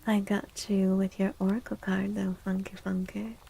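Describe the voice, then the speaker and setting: A soft-spoken woman hosting an ASMR livestream and reading tarot, gentle and unhurried, often dropping into a breathy half-whisper. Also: mid-range voice, little pitch movement. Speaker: livestream host and tarot reader.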